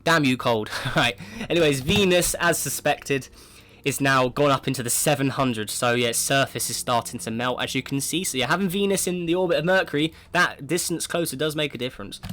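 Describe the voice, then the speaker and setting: A man with a cold talks through a space simulation game at a mid-range pitch. He keeps up a relaxed running commentary, often restarting with "right, so", and his throat sounds sore. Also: fairly quick. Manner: chatty, thinks aloud, often opens with "right, so". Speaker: gaming video creator.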